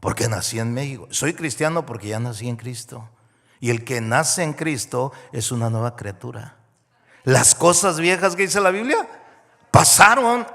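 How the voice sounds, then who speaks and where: A man from Mexico delivers a sermon in a somewhat high voice. He shifts from quiet, intimate storytelling to loud, climbing emphasis. The sound hangs in a large church hall with a long echo. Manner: swings from hushed storytelling to loud, rising preaching. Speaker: guest pastor.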